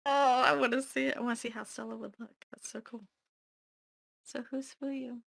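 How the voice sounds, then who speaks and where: A woman's voice: a little high, steady tone, moderate pace, creaky, a bit bright. A woman talking casually as a participant in a group read-through. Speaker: participant in a script table read. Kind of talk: casual chat.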